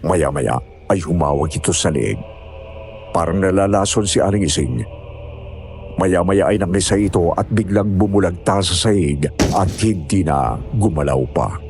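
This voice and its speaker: A man's voice: sits low, moderate pace, a little mellow, faint music behind. A man narrating a scripted first-person horror story.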